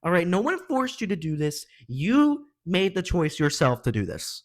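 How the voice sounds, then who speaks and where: A man's voice: sits high, big pitch swings, moderate pace. A man hosting a reaction video with casual, slangy, opinionated commentary in an exasperated manner.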